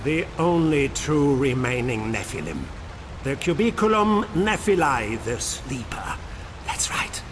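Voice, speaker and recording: A man's voice: medium pitch, big pitch swings, a little slow. An actor playing an arrogant, fanatical cult henchman in a video game, with a haughty, zealous, theatrical delivery. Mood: zealous boasting. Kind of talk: video game cutscene acting. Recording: noisy ambience behind the voice.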